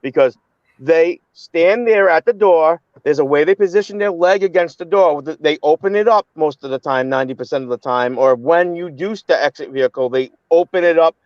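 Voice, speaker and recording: A man's voice: on the high side, fairly quick, no echo, muffled recording. A man on a live online panel who talks bluntly and with heat, often stumbling and repeating words. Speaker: panel guest. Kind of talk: heated casual live-stream chat.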